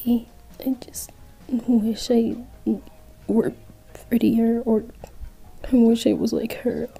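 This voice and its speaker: A woman playing a tearful young person quietly venting to themselves, with a whispered, broken and hesitant delivery. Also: on the high side, flat delivery, slow, creaky, breathy edge, full character voice, poor audio. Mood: sad.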